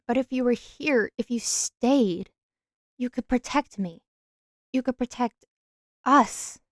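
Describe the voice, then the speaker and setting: A woman's voice: a little high, no room sound, clean studio sound. A woman playing a needy, emotional young human man in love, pleading in an amateur audio romance drama. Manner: slow, pleading, emotional delivery.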